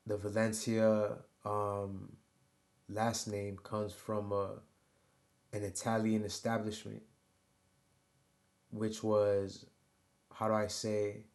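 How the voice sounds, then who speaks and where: A man who grew up in the United States, talking in a rambling, halting personal monologue and filling his sentences with "you know" and "right?". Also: on the low side. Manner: halting, filler-heavy, keeps adding "you know" and "right?". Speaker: vlogger.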